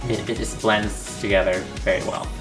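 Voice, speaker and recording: A woman's voice: very deep voice, flat delivery, moderate pace. A woman co-hosting a casual, unscripted review chat. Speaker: co-host of a toy review. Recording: distant mic, music clearly behind.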